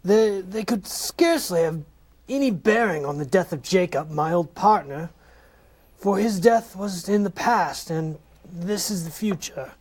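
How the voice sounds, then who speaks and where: A man's voice: sits high, lively intonation, measured pace, darkish tone, husky, heavily acted. Fussy old miser, stammering and hesitant, read by a man for a podcast radio play. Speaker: lead actor in a comedy reading. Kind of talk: amateur comedic radio play reading.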